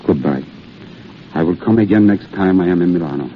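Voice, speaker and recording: An actor playing a weary, gentle army priest, halting and solemn, in an old radio drama. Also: male voice, low-pitched, natural voice. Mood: solemn, tender. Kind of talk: 1930s-40s radio drama acting. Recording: muffled, noisy old radio broadcast.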